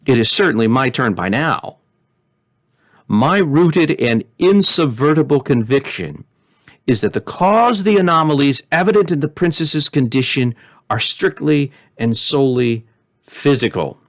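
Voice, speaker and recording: Storybook philosopher acted by a man: sententious, stubborn materialist, pompous certainty, middling pitch, very little room sound. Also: expressive, measured pace, no echo, clean studio sound, close to an everyday voice. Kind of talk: storybook character reading.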